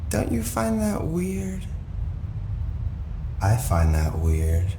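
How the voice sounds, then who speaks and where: Slow, eerie possessed young man, echoey. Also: on the high side, huge pitch swings, exaggerated voice. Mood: brooding. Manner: slow, halting, cryptic chanting and musing. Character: disturbed young man possessed by an eerie hivelike force, resentful brother. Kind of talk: video game cutscene acting. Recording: echoey room, clear background noise.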